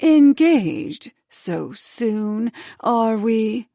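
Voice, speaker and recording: A woman's voice: a little high, sing-song, takes it slowly, gravelly, a little mellow, dry recording, clean studio sound, pitched above the performer's own voice, full character voice. A woman voicing a cold, condescending ex-wife with a clipped, icy way of speaking, in an audiobook.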